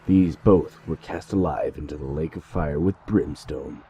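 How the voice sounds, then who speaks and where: A man's voice: extremely low pitch, unhurried, gravelly, warm tone, slight background noise, heavily acted. A man playing a defiant, cornered loyalist who taunts bitterly in a dramatic audio drama. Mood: bitter, taunting.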